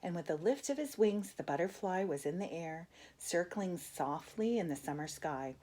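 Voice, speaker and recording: An older woman reading a children's storybook aloud in an expressive way, as a grandmother would to young listeners. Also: on the low side, moderate pace. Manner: expressive, asks the listeners questions.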